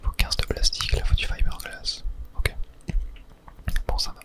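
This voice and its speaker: Someone whispering softly and breathily, thinking aloud in a casual ASMR gameplay commentary. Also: moderate pace. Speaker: video game streamer narrating play.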